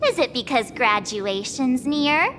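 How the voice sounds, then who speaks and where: A young woman voicing a chatty, friendly schoolgirl classmate in an anime-style game, expressive and a little hesitant. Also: high voice, takes it slowly, slight background noise, heavily acted. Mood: concerned, puzzled. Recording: music behind the voice. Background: game music.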